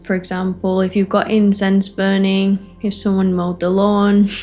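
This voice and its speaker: A woman facilitating a guided meditation, offering gentle invitations in short phrases with long pauses between them. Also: medium pitch, little pitch movement, soft background music. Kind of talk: guided meditation, spoken softly to listeners.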